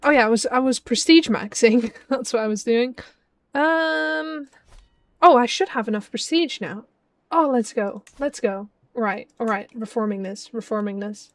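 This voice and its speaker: A young woman from the Netherlands with a Dutch accent tinged with British and Scottish sounds, chatting casually with her stream chat while playing, with many ums and restarts. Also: on the high side. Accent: Dutch with British and Scottish traces. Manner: chatty, frequent ums, reads chat aloud.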